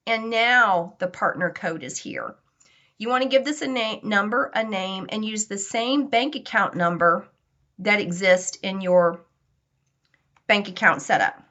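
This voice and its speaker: A woman leads an informal software tutorial in a bright, crisp-edged voice at a medium pitch. She explains each step in a conversational, instructive manner. The recording is a little dull and lo-fi.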